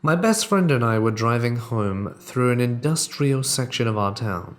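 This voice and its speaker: A man reading true horror stories aloud as the host, in a measured, deliberate storytelling manner that drops quieter at tense moments. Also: mid-range voice, animated. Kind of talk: scripted narration of reader-submitted true horror stories.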